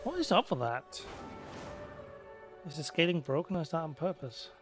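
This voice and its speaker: Male let's player, slightly higher voice, casual commentary, faint music behind. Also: very animated, normal speed. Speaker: gamer recording a let's play.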